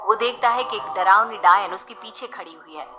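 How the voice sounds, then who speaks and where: A woman's voice: mid-range voice, moderate pace, heavy background noise. A woman narrating a scripted horror bedtime story as a storyteller.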